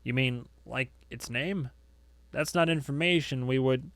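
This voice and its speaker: Man as a meek, nervous male worker, hushed. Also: medium pitch, unhurried, no room sound. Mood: nervous, evasive. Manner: hesitant, meek, barely audible. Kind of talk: audiobook character acting.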